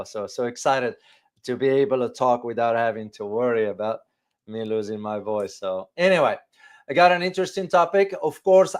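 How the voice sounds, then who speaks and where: A man in his fifties hosting informal geopolitical commentary for viewers, speaking haltingly and repeating phrases for emphasis. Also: medium pitch, darkish tone. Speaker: host of a geopolitics show. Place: small furnished home room, indoors.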